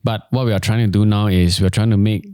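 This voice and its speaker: A man with a Singaporean accent giving measured, explanatory interview answers as a company executive, often repeating phrases as he goes. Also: fairly low pitch, fairly even intonation, a little mellow, clear, resonant tone, dry recording, clean studio sound. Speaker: company executive, podcast guest.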